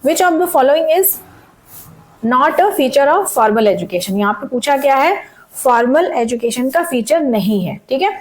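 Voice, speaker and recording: Woman teacher, slightly raised pitch, sore throat, slow deliberate question reading, friendly checking-in. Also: animated, low-quality recording. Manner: frequent "theek hai" checks, reads questions slowly in English. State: sore throat, voice cracks at times. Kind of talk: exam-prep lesson mixing Hindi and English.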